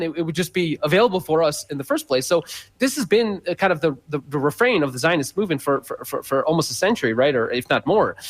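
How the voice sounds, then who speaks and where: A man speaking as a guest scholar in a radio interview, explaining at length with many fillers and restarts. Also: on the high side.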